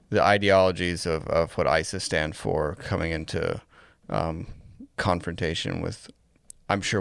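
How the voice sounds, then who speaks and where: Low, smooth, creaky-voiced male co-host. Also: dry recording, studio quality. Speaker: podcast co-host. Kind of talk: casual podcast discussion.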